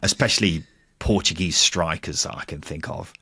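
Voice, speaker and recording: A man's voice: extremely low pitch, normal speed, bright, forceful tone, breathy edge, no room sound, clean studio sound. A man hosting a football podcast, chatting casually with playful, teasing banter. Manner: jokey, playful teasing, mild swearing. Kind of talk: casual banter, quiz chat.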